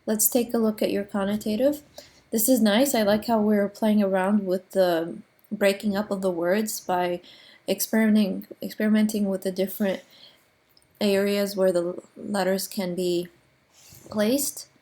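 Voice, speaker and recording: Woman, design teacher, allergies, sniffly, relaxed, lots of ums, gently corrective. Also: medium pitch, measured pace. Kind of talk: recorded class critique.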